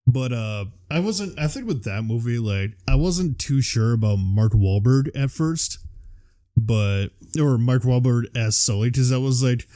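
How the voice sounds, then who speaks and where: A man's voice: medium pitch, lively intonation, measured pace, warm tone, dry recording, clean studio sound. A man co-hosting a casual, unscripted chat, talking in a loose, rambling way and sounding tired. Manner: rambling, loosely strung thoughts. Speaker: podcast co-host. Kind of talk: casual unscripted chat about films and games.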